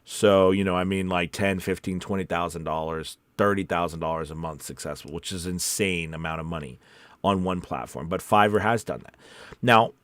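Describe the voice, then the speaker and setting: A man's voice: sits low, normal speed, fairly bright tone, no echo. A man hosting a casual livestream as a voice-over coach, talking in an informal, rambling and encouraging way. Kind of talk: casual livestream chat, reading viewer comments.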